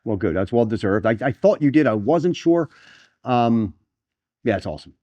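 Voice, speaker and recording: Man, middle-aged, podcast interviewer, very animated pitch, casual chat, dry close recording. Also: moderate pace, dry recording.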